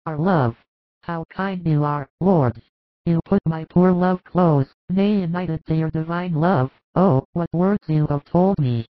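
A man's voice: on the high side, unhurried, no echo, voice pushed up, natural voice. A man voicing a devout, tender, anxious mother of biblical times in a dramatized religious story, with fervent, effusive speech. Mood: grateful, pleading. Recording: dull lo-fi recording.

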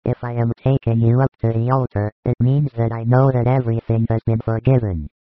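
A man voicing a compassionate, authoritative male spiritual teacher who speaks in a solemn, measured, reassuring way. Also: mid-range voice, little pitch movement, no room sound, phone-quality sound, soft background music, natural voice. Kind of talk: audiobook reading of a religious narrative.

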